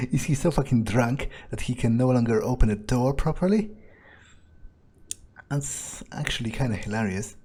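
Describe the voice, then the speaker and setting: In-character gamer, male, medium pitch with a rough husky edge, sardonic and sweary, musing aloud. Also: animated, moderate pace, pauses often, warm tone. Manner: sardonic, foul-mouthed. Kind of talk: in-character game roleplay, thinking aloud.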